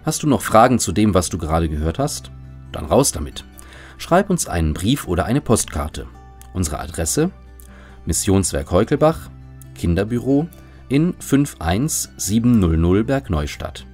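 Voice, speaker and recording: A man hosts a children's radio program in a scripted, direct address. His voice is fairly low and very animated, with faint music underneath. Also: unhurried. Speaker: radio host. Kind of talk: scripted address to young listeners.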